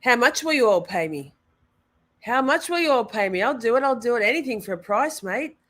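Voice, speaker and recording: A woman's voice: on the high side, lively intonation, a little fast, a bit bright, slight background noise. A woman streamer reacting to a trial video, chatting playfully with her viewers. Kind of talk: casual stream commentary.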